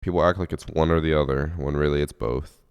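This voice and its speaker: A man's voice: extremely low pitch, steady tone, fast talker, smooth, no room sound, studio quality. A man streaming games from home and chatting casually with his chat, sounding sniffly and congested after a late night, with a laid-back, self-mocking way of talking. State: sniffly, congested from lack of sleep. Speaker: game streamer. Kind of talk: casual live-stream chat, reading chat aloud.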